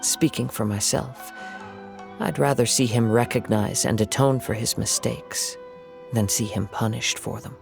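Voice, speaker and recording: An actor playing a gentle, reflective young wandering swordsman in a video game, speaking in a measured, formal, contemplative way. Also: lowish voice, gravelly. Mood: reflective, earnest.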